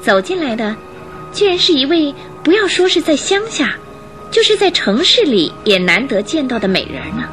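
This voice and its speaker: A woman narrator telling a radio drama story in an expressive, scripted and dramatic style, building suspense. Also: high-pitched, normal speed. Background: music.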